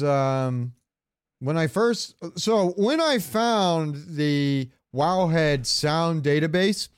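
A man's voice: on the high side, smooth, dry recording, studio quality. A man chatting casually and crudely as a podcast co-host, swearing freely. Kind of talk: casual banter about game sounds.

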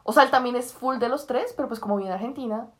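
Playful young woman, fairly high voice. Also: fast talker. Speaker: podcast co-host. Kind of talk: casual chat. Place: dark room. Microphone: phone.

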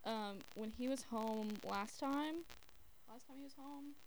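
Young woman, kinda high voice, chatty podcast storytelling, says like a lot, bit of background noise. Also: unhurried. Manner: informal, heavy use of "like" when quoting. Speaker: podcast co-host. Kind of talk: casual chat, telling anecdotes. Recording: noisy amateur podcast recording.

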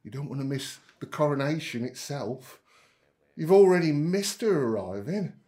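A man's voice: a little high, expressive, moderate pace, mellow, natural voice. A man acting in an audio drama as a proud, chatty working-class father, middle-aged or older, with a genial manner. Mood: proud wonder.

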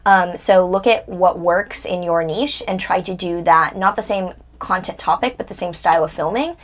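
A woman's voice: on the low side, normal speed. A woman in her twenties, a guest in a casual interview, talking bluntly and candidly and swearing freely. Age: 20s.

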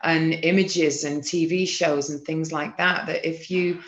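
Lowish-voiced English woman interviewing, roomy sound. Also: fairly even intonation, unhurried. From Manchester, England. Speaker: podcast interviewer. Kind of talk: casual conversational interviewing. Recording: roomy echo, slightly dull lo-fi sound.

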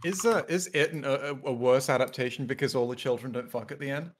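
A man's voice: mid-range voice, fast, background noise. A man in a casual debate, pressing with probing questions and hypotheticals as he talks. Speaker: discussion panelist.

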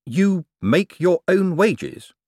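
A man voicing a quick-witted young male schemer who talks in a brisk, incredulous way. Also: high-pitched, animated, a little mellow, breathy edge, no echo, studio quality, pitched above the performer's own voice, heavily acted.

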